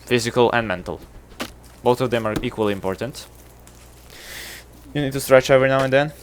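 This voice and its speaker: A man's voice: mid-range voice, brisk, slight background noise. A man from Serbia with a Serbian accent, chatting casually to his viewers while streaming a game, rambling and self-deprecating. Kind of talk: casual livestream chatter with chat.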